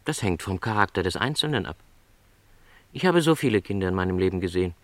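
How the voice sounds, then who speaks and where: A middle-aged travelling salesman in a radio play, with a low voice and lively intonation. He is lonely yet content, and speaks in a modest, reflective, courteous way. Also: quick pace, dry recording. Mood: gently reflective.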